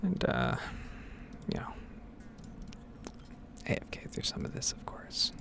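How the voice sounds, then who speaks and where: A man giving hesitant, rambling game commentary in a soft-spoken, whispered ASMR style. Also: slow. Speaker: gaming video host.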